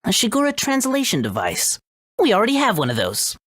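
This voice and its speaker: A woman's voice: a little high, huge pitch swings, a little fast, a bit bright, breathy edge, dry recording, full character voice. A woman voicing a brainy, self-assured boy who heads a research lab in a video game, matter-of-fact and bossy. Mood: explanatory. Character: confident brainy boy genius, head researcher.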